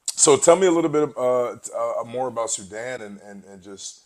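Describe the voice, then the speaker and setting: A man's voice: mid-range voice, animated, normal speed, crowd noise. A young man from the United States hosting a casual interview, easygoing and slangy in how he asks and responds. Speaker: podcast host, college football player.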